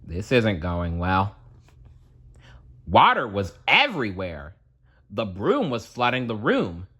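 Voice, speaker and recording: A man reads a children's story aloud with deliberate drama. His voice is husky and unhurried, and the intonation rises and falls very widely. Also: fairly low pitch, huge pitch swings, sharp tone. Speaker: storybook narrator. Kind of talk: children's read-aloud narration.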